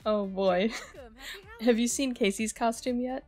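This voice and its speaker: A woman's voice: fairly high pitch, slow pace, mellow, faint music behind. A woman playing a good-natured, bookish young partygoer in a naturalistic audio drama. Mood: amused.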